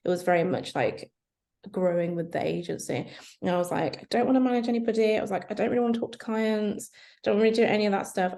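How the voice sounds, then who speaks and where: A woman's voice: fairly low pitch, a little mellow. A young woman guest on a podcast chat, talking candidly and conversationally about her work. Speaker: guest, head of SEO. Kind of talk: casual online chat.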